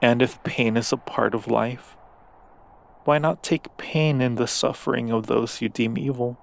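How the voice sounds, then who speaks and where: A man's voice: medium pitch, fairly even intonation, creaky. A man playing a seductive, coaxing demon in an ASMR roleplay, speaking with silky, formal persuasion to a mortal. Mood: persuasive. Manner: slow, silky persuasion with formal, deliberate wording.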